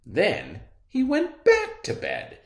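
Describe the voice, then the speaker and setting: A man's voice: high voice, wildly swooping pitch, slow pace. A man reading a children's story aloud as a storyteller, with theatrical, emphatic phrasing. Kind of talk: animated children's read-aloud. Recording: roomy, echoey indoor recording.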